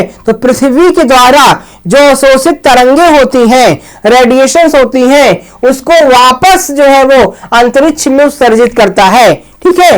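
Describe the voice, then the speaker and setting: A man's voice: extremely high pitch, clean tone, rough recording. A man teaching in a lecture style, explaining step by step with repetition, rhetorical questions and frequent check words.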